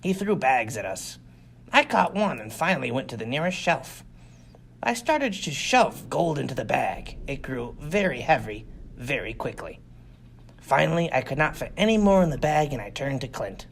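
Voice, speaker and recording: A man reads a first-person space adventure as an audiobook narrator. His pitch moves around freely and expressively, and his voice has a gravelly, creaky edge. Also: big pitch swings, moderate pace, a little hiss or hum. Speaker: audiobook reader. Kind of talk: scripted first-person sci-fi story narration.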